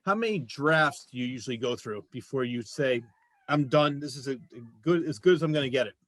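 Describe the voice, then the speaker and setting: A man's voice: medium pitch, lively intonation, brisk, fairly bright tone, no room sound. A man hosting a talk show, chatting casually and jokily with his guests. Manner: jokey, chatty. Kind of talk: casual interview chat.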